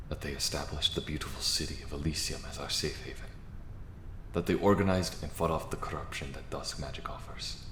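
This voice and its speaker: A man playing a devout, stern bishop in a fantasy audio drama, speaking in a formal, measured way. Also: rumbling low, fairly bright tone, roomy sound, a little hiss or hum.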